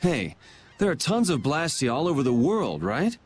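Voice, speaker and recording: A man's voice: fairly high pitch, very animated, normal speed, slight background noise. A man voicing a casual but resolute young swordsman who leads his group in a video game. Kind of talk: English video game voice acting.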